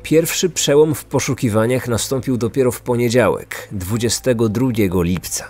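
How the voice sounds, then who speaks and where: A man's voice: mid-range voice, moderate pace, a little mellow, faint music behind. A man hosting a true-crime podcast, reading a scripted narration.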